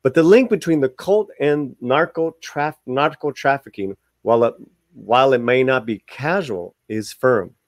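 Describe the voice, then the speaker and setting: Casting: male video host, mid-pitched, reads articles aloud and comments casually, tripping on words, dry recording. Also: moderate pace, dry recording. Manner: stumbles over words while reading; informal asides to viewers.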